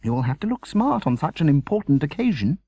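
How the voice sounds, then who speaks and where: A man voicing a put-upon, hesitant mother in a storybook, tentative and gently evasive. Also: a little high, big pitch swings, fairly quick, husky, no echo, pitched above the performer's own voice, exaggerated voice.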